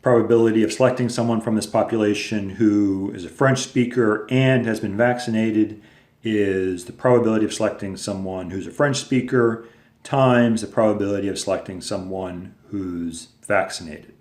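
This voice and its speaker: Low-voiced man teaching in an echoey room. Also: steady tone, normal speed, darkish tone. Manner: patient, step-by-step explaining with fillers. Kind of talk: recorded lecture video.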